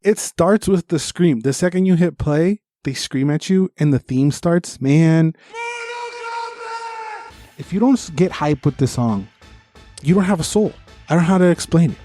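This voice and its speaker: A man's voice: a little high, moderate pace, long pauses, mellow, no room sound, faint music behind. A man co-hosting a podcast, chatting casually about movies with slangy, enthusiastic fan talk. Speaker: podcast host. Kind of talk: casual movie review chat.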